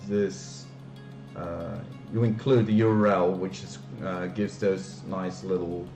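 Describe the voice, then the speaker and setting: Man, Flemish accent, low voice, halting speech with many fillers, echoey room with music behind. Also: little pitch movement, a little mellow. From Belgium, Flemish part. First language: Dutch. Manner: hesitant, many fillers and restarts. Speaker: streamer. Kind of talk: casual live-stream walkthrough.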